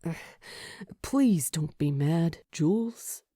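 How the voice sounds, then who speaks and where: Storybook role, female narrator playing him: devoted young male ghost, nervous and hesitant, soft relaxed tone. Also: low-pitched, no room sound, clean studio sound, pitched below the performer's own voice, heavily acted. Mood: pleading. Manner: hesitant, halting.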